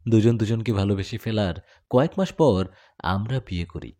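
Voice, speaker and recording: A man's voice: on the low side, moderate pace, mellow, pitched below the performer's own voice, lightly acted. A man voicing a devoted warrior jinn husband in an audio story, speaking in an earnest, dramatic, confessional way. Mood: tender, nostalgic.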